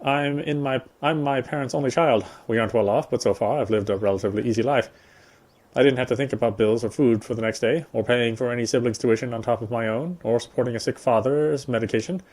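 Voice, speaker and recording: Man reading game story aloud, mid-pitched. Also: quick pace, a little mellow. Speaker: gameplay streamer reading aloud.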